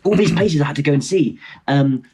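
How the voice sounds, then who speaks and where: A man in his twenties with a husky voice, telling stories casually and excitedly on a podcast. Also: a little high, brisk, a little hiss or hum. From London, England. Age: 20s. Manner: excitable, re-enacts dialogue. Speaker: podcast guest, motorcycle traveller. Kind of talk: casual animated storytelling.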